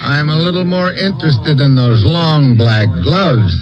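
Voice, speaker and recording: An actor playing a wry, confident agent with a dry, wisecracking manner in a 1950s radio drama. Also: male voice, a little high, lively intonation, unhurried, crowd noise. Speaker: investigator and narrator. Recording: dull old radio recording with music.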